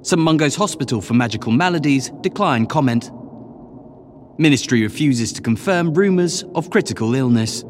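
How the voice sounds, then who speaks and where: A man's voice: medium pitch, expressive, moderate pace, slight background noise, close to an everyday voice. A man voicing an urgent newspaper report in a dramatised audiobook. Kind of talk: dramatised news report reading.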